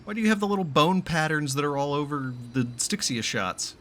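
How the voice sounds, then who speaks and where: A man's voice: fairly high pitch, expressive, fairly quick, a bit bright, a little hiss or hum. A man doing casual, blunt live reaction commentary while gaming, exclaiming and swearing freely.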